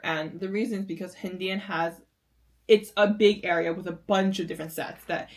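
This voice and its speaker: Rambling American woman reactor. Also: on the low side. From United States. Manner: rambling, lots of filler "like". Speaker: series reactor. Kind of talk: casual reaction commentary.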